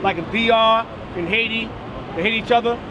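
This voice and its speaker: A man's voice: very high-pitched, moderate pace, highs cut off. A man preaching on the street, reading a text aloud and stressing key words, with commentary in between. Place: outdoors on a busy street. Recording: outdoor street recording with crowd noise.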